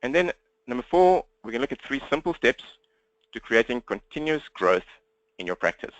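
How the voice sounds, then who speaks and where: South African male trainer, rapid direct patter, mid pitch, dry room. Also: big pitch swings, fairly bright tone, no echo, highs cut off. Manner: fast-talking, upbeat and direct. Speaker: webinar trainer. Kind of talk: live webinar presentation. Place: at home desk speaking to laptop. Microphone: laptop.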